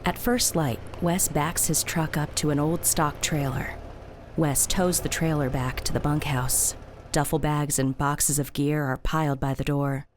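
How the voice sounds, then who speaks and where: Low-voiced woman narrating an audio drama. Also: steady tone, a little slow, a little hiss or hum. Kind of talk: scripted present-tense audio drama narration.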